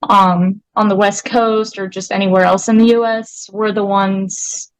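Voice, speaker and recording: A woman's voice: medium pitch, flat delivery, measured pace, clean tone, dry recording, low-quality recording. A woman in a punk band, chatting in an interview in a laid-back way and laughing often. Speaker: band member guest. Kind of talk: casual interview chat.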